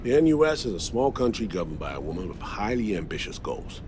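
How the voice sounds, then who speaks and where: Low-voiced, world-weary veteran spy. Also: male voice, sing-song, noisy background. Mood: measured, serious. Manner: deliberate, measured, blunt. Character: weary, loyal veteran spy, composed and blunt. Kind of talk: video game cutscene acting.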